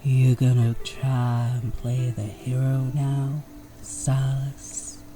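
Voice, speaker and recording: A man's voice: mid-range voice, level tone, extremely slow, noisy background. A man playing a menacing snake-like outlaw villain in a Western audio roleplay, with an American Southern drawl and mock-sweet taunts. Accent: American Southern drawl, Western style.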